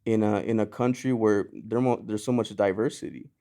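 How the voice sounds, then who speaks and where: Brief: male podcast guest, born in Mexico, lowish pitch, easygoing and rambling, many restarts. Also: moderate pace, vocal fry, dark tone. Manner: loose and rambling, lots of "you know" and "like", frequent restarts. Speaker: guest, clothing brand founder. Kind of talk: casual podcast conversation.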